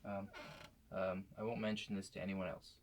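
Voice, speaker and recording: A man performing an improvised ASMR roleplay monologue in a halting, hesitant, deadpan manner. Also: sits low. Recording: clear background noise.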